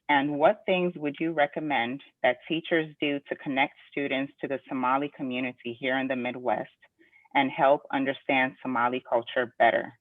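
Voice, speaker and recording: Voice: medium pitch, no echo. A polite moderator reads out audience questions and thanks the guest in a scripted way. The audio is thin and phone-like, and completely dry with no room sound. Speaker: webinar moderator.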